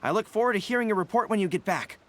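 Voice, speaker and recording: Casting: courteous young anime game commander, high-pitched, animated, speaking with dutiful formality. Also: male voice, rapid-fire, slight background noise. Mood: eager, dutiful. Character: earnest, polite young male army general. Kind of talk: anime video game dialogue.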